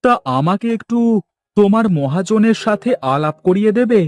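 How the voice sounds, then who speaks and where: A man acting an eager, high-pitched village fellow. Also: animated, moderate pace, darkish tone. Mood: eager, friendly. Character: eager village man wanting to start trading. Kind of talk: Bengali cartoon dialogue acting.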